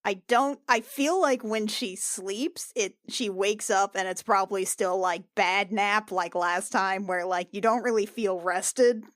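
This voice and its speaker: A woman's voice: mid-range voice, unhurried, few pauses, fairly bright tone, no room sound. Casual woman player with a dry close sound. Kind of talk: casual chat describing her character.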